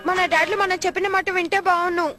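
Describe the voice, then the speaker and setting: A spirited little cartoon boy. The voice is very high and youthful, with a cheeky way of talking, over loud music. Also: flat delivery, fast talker. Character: spirited young boy, plain-spoken and cheeky cartoon kid. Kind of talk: dubbed cartoon acting.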